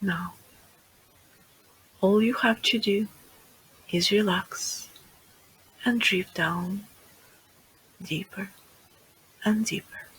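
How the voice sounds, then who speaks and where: Slow, very breathy meditation guide. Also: mid-range voice, fairly even intonation, rough recording. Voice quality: soft, breathy, often near whisper. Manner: hushed, soothing, measured phrases with pauses. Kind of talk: guided meditation, hypnotic relaxation script.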